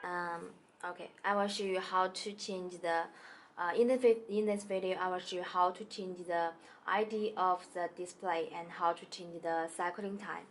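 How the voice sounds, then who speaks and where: A woman demonstrating a product in a small indoor studio, talking haltingly with many fillers and restarts. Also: on the low side, fairly even intonation, slight background noise. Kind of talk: hesitant product tutorial.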